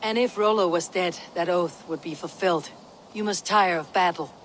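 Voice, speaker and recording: A woman's voice: on the low side, normal speed, a little hiss or hum, natural voice, poor audio. A woman playing a stern, blunt Viking warrior in a video game, questioning in a terse, commanding way. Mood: accusing. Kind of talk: video game cutscene acting.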